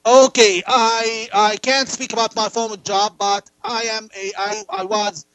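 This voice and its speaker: A man from Jordan, answering as an interview guest in halting phrases with repeated words. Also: high-pitched, level tone, a bit bright. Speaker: interview guest, political activist and writer.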